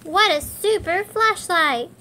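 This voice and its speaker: A woman voicing a cheerful, eager little girl superhero in a storybook, excited and helpful. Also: very high voice, slow pace, slight background noise, voice pushed up, full character voice. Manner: excited, exclaiming.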